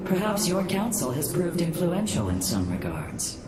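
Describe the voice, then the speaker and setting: A woman's voice: fairly low pitch, very animated, moderate pace, a loud crowd. An actress playing a proud, wry female alien matriarch in a sci-fi game, dry and formal. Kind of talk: dramatic sci-fi game acting.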